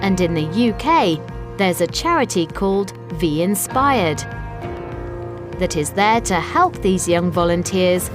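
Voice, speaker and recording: A woman reads scripted documentary narration for learners in a measured, clearly enunciated way. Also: lowish voice, lively intonation. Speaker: narrator of an educational video report. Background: background music.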